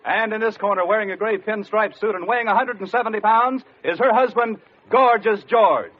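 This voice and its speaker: A man's voice: high-pitched, fairly quick, a little hiss or hum. A man with an American accent announcing a vintage radio show in a theatrical, ring-announcer style. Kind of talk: scripted 1940s-50s radio announcing. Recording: muffled old radio recording with music.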